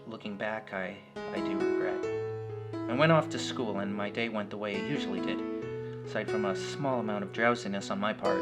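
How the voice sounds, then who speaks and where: A man narrating a first-person horror story in a conversational, somewhat halting way. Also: on the low side. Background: loud plucked guitar music.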